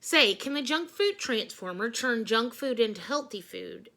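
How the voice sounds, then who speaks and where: A woman voicing excitable, cheeky schoolchildren from a storybook in a blunt, exclaiming manner. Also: fairly high pitch, a little slow, exaggerated voice. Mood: skeptical.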